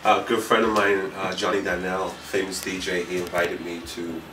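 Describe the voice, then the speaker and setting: A middle-aged man from Puerto Rico, raised in New York City, talking casually and a little haltingly as a fashion designer being interviewed. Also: low-pitched, level tone, distant mic, noisy background. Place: designer's indoor studio with sewing machines. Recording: echoey room, off-mic, music behind.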